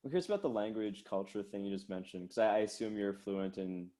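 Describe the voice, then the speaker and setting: Fast-talking podcast host man. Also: lowish voice, mellow. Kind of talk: casual interview.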